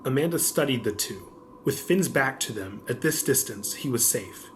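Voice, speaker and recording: A man's voice: mid-range voice, animated, normal speed, slight background noise. A man narrating a scripted adventure audiobook as the storyteller.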